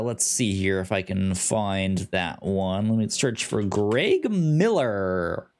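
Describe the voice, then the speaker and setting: A man with a stutter hosting a casual podcast, halting with many ums and restarts. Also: fairly low pitch, darkish tone. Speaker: podcast host.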